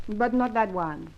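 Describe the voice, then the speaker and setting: High, coy French dancer girl. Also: full character voice. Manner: coy, pleading, broken English. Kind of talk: old-time radio serial acting. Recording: dull lo-fi old radio recording.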